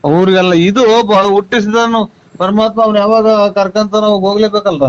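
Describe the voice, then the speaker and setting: Man on a muffled phone call, high smooth voice, rural Tumakuru accent, rambling and baffled, protesting. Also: flat delivery, moderate pace. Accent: rural Tumakuru Kannada. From Tumakuru district, Karnataka. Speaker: prank call recipient. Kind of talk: casual phone conversation.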